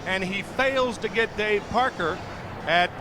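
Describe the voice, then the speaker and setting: High-pitched male baseball commentator, noisy broadcast. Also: takes it slowly. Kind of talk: live sports play-by-play commentary.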